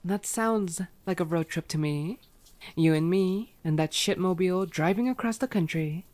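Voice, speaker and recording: Woman voicing a snarky vampire lady for asmr roleplay, slightly low voice, teasing, swears, kinda exasperated. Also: moderate pace, a little mellow, natural voice. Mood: incredulous, teasing. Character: teasing, sardonic female vampire, playful and exasperated. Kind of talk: ASMR roleplay audio drama.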